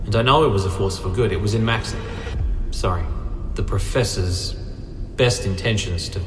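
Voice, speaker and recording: Man playing a low-voiced, haunted, resolute man. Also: normal speed, lightly acted. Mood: grave, resolved. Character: resolute man haunted by his erased dark future. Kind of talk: audio drama acting. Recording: echoey hall reverb, music behind.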